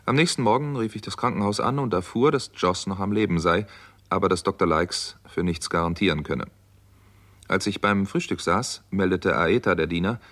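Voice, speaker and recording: Low-voiced, earnest doctor turned sleuth. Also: male voice, fairly bright tone. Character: American doctor, indignant, determined amateur sleuth and narrator. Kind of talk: 1960s German radio crime drama acting.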